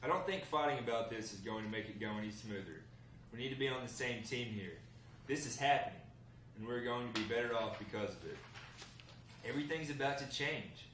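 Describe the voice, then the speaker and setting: A man's voice: mid-range voice, a little fast, fairly bright tone, natural voice. Man reading as a confident young husband, echoey room. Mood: defensive, insistent. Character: Sawyer, confident, defensive husband newly joining a gang. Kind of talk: script table read. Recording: echoey room, distant mic, faint noise.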